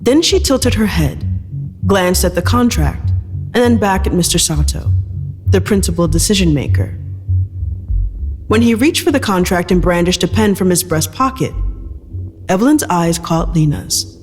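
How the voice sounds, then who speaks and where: A male narrator reads dramatic fiction in a high-pitched voice. His words carry a long reverb, with clearly audible guitar music behind them. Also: expressive, normal speed, long pauses, darkish tone.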